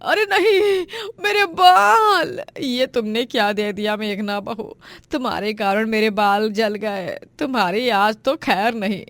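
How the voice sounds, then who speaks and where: A woman's voice: on the high side, slow pace, a bit bright, no room sound. Woman acting a stern, bossy mother-in-law. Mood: distraught, furious. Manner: bossy, scolding. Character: stern, scolding middle-aged Indian mother-in-law. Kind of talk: Hindi moral story voice acting.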